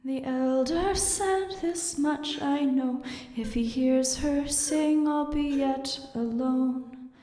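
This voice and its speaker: High, tender guilt-haunted noblewoman. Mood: mournful singing. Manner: slow, tender singing and hushed speech. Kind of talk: fantasy audio drama acting with a cappella lullaby. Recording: echoey hall reverb, music.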